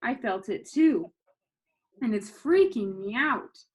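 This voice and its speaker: A woman's voice: a little high, lively intonation, slow, husky, full character voice. A woman voicing an uneasy, freaked-out comic-book hero in a story, with an uncertain manner.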